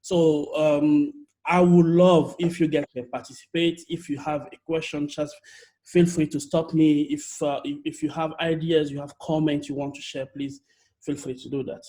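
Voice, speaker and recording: Energetic Togolese French-accented man. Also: fairly high pitch, moderate pace, low-quality recording. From Togo. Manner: energetic, repeats words and restarts often. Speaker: youth leader speaker. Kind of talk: online workshop talk. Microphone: video call.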